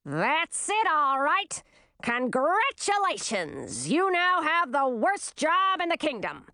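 A sharp-tongued old-world queen mother from a storybook, acted out by a woman narrator. She crows and snaps bluntly in a high voice that swings widely. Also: huge pitch swings, slow pace, dry recording, studio quality, heavily acted. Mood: crowing, scornful. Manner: snappish and blunt.

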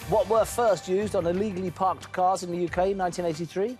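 A man hosting a television quiz show, brisk and teasing with jokes between questions. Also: high-pitched, fairly even intonation, a little mellow, soft background music.

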